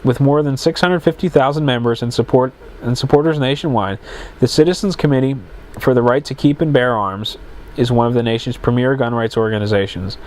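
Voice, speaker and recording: A man's voice: medium pitch, a little fast, a little mellow. A man with a stuffy, congested voice hosts his own podcast, reading news pieces aloud in a mid-range voice. He rambles between items and trips over words, backing up to fix them as he goes. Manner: rambling, self-correcting, stumbles over words. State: congested, plugged sinuses. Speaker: podcast host.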